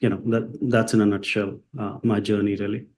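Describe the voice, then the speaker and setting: A man's voice: lowish voice, level tone, brisk, darkish tone. A man from India whose first language is Hindi, a psychiatrist answering interview questions with many fillers and restarts. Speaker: psychiatrist and company founder.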